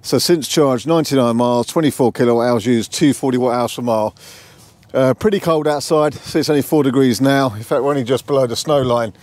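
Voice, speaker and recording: A man's voice: medium pitch, fairly quick, a little mellow. Bloke in his 40s, vlogging casually on a lav mic, matter of fact, no echo. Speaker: car vlogger. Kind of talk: casual vlog talk to camera. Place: mostly outdoors, car parks and roadside. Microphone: lavalier with furry windshield.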